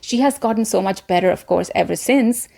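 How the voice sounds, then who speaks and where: Woman coaching with emphatic, deliberate delivery. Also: medium pitch, creaky, dark tone. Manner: encouraging, direct address to viewers. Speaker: presenter, voice coach. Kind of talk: instructional talk to camera.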